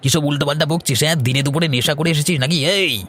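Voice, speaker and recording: A man's voice: on the high side, fast talker, a bit bright, slight background noise, natural voice. A man playing an insolent, bullying young village crook in a cartoon folk tale, with slangy, threatening talk. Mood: menacing.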